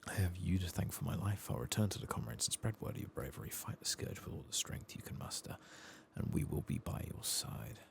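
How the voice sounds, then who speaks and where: A grateful rescued soldier in a fantasy game, read out by a man, earnest and formal. The voice is hushed and gravelly, lifted a little, with faint noise behind it. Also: deep voice, expressive, a little fast, hardly any pauses, voice pushed up, full character voice. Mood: solemn gratitude.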